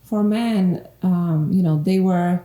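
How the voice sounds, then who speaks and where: Mellow-voiced woman from Poland, roomy sound. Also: lowish voice, takes it slowly. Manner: warm, earnest, laughs often. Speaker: guest relationship coach. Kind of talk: casual podcast conversation. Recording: echoey room.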